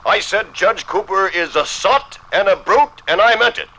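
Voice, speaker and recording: Defiant newspaper editor, forceful bright voice, high pitch, emphatic delivery, vintage radio play. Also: male voice, animated, normal speed, exaggerated voice, rough recording. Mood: defiant, indignant. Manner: indignant, emphatic, declamatory. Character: combative, defiant male newspaper editor in the 1850s. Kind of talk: 1950s radio drama acting. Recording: 1950s radio broadcast from vinyl record.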